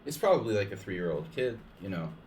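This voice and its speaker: A man's voice: low-pitched, sing-song, a little fast, darkish tone, a little hiss or hum. A man streaming chess against viewers, chatting casually and rambling, with lots of "like" and restarts between joking asides. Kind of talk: casual live-stream chat over games.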